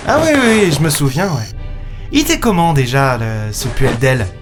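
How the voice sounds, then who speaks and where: An actor playing a weary, colloquial space captain who narrates and talks in a science-fiction audio drama. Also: male voice, medium pitch, sing-song, moderate pace, natural voice. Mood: amused reminiscing. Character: world-weary male space captain, last human in the galaxy. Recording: music under the voice.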